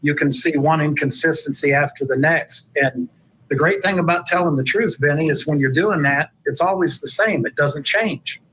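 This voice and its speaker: A man's voice: on the high side, moderate pace, fairly bright tone, slightly rough, low-quality recording. A man giving opinionated commentary in a played clip.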